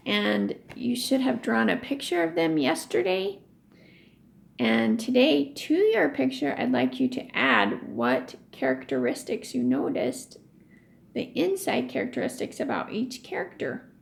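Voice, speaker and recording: Female teacher for children's read-aloud lesson: high, animated pitch, questioning and explanatory delivery. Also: vocal fry.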